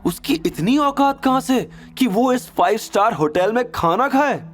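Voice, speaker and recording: A man's voice: very high voice, expressive, measured pace, voice pushed up. A man voicing a gossipy, mocking young woman with an insistent manner in an audio drama.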